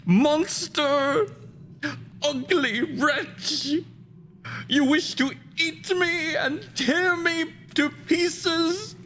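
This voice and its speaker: A man's voice: very high-pitched, very slow, sharp tone, voice pushed up, heavily acted. A man voicing a frightened, defiant young boy in a theatrical monologue, crying out with pleas and threats. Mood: terrified, defiant. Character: terrified, defiant young boy struggling with a monster. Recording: faint background noise, home video-call performance.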